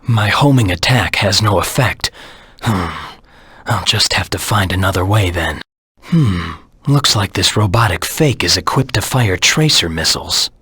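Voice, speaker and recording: An actor playing a cold, determined anti-hero hedgehog in a video game, speaking in a terse, serious, deliberate way with a husky, gravelly voice. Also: male voice, low voice, animated, bright, forceful tone, no room sound, studio quality, heavily acted. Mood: calculating. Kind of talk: video game character voice lines.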